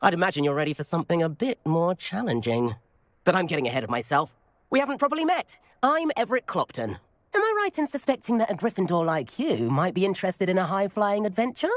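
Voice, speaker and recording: A man's voice: sits high, big pitch swings, fast talker, no echo. A man playing a cheeky, adventurous teenage schoolboy wizard in a video game, chatty, eager and well-spoken. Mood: eager, conspiratorial. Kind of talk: video game character acting.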